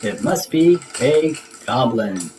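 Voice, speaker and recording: A man voicing a little childlike monster in a storybook, excitable, anxious and curious. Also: mid-range voice, slow, noisy background.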